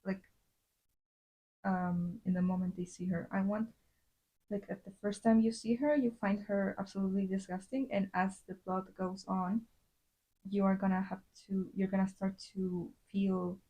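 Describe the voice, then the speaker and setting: A woman's voice: mid-range voice, little pitch movement, moderate pace, dark tone, rough recording. A young woman from Latin America, chatting casually on a drawing livestream and rambling with many fillers and restarts. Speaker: streamer.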